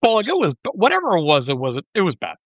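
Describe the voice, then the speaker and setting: Insistent woman co-host with lively pitch. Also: fast talker, dry recording, clean studio sound. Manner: insistent, repeats herself, stumbling starts. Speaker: podcast co-host. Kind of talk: casual chat.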